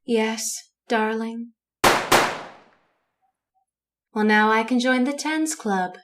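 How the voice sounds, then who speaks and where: A woman acting in an old time radio drama, playing a seemingly soft young woman who is secretly a cold killer. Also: on the high side, slow, slight background noise. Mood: coolly menacing.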